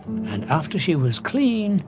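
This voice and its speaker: Audio drama scientist, highish voice, obsessive and darkly exultant, theatrical storyteller, faint music beneath. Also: male voice, big pitch swings, takes it slowly. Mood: coolly reminiscing.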